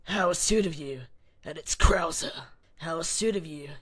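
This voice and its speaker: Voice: medium pitch, normal speed, fairly bright tone, breathy edge. A reader voicing a menacing, smug military man who sneers and commands in an amateur dramatic reading. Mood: smug taunting. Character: menacing, smug male military villain and kidnapper.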